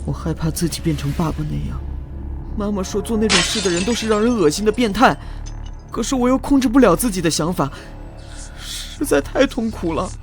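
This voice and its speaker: A man playing a troubled teenage boy full of self-loathing, in an animated drama. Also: high-pitched, a little fast. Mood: anguished. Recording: loud background music under the voice.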